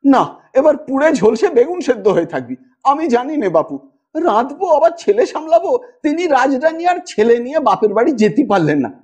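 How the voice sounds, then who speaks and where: A man's voice: very high voice, sing-song, a little fast, a little mellow, husky, voice pushed up, heavily acted. A man voicing a harried young village mother who scolds and coos at a small boy. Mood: scolding.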